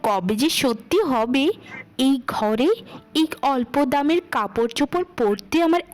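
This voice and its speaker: A woman's voice: sits high, lively intonation, unhurried. A woman voicing a vain, spoiled, bullying younger sister in a children's cartoon, with a haughty, scolding manner. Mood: smug, dreamy. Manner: haughty, scolding, self-admiring. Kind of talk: children's moral cartoon dialogue.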